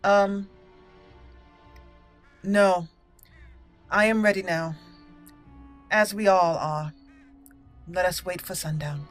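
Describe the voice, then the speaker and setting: A woman's voice: fairly low pitch, steady tone, slow pace, long pauses, soft background music. A woman hosting a let's play, playfully moving between in-character lines and casual commentary. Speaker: gaming channel host. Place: indoors, game audio behind.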